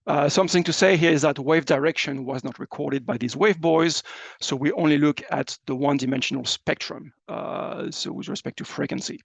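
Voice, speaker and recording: A man giving a scientific presentation as a researcher, explaining slides in a halting, hesitant way with frequent fillers. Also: a little high, creaky, dry recording.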